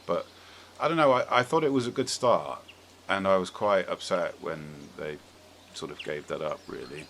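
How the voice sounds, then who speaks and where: A man with a notably deep voice hosts a relaxed, casual discussion. He rambles tentatively, working out his thoughts aloud. Background noise is audible in the recording. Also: moderate pace. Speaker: podcast host. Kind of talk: casual film and game chat.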